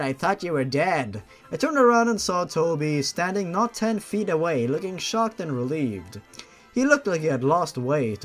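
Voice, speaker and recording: Sarcastic male reader, higher register, very lively, dramatic narration with exasperated rants, music underneath. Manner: sarcastic, foul-mouthed, exasperated. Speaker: host reading fan fiction aloud. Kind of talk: dramatic story reading with ranting asides.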